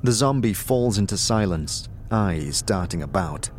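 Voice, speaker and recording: A man's voice: low-pitched, expressive, measured pace, a little mellow, a little hiss or hum. A man narrating a scripted audio drama in the second person, as a storyteller. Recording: music behind the voice.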